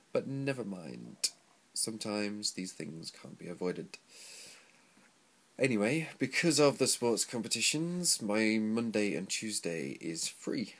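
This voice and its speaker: A young man with a stuffy nose and a cold-lowered voice, rambling casually with many ums in a video diary recorded on a close webcam in a small room. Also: vocal fry. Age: young adult. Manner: relaxed, rambling, frequent ums. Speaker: English teacher vlogger. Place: small room with sliding paper screens, indoors. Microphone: laptop webcam close up.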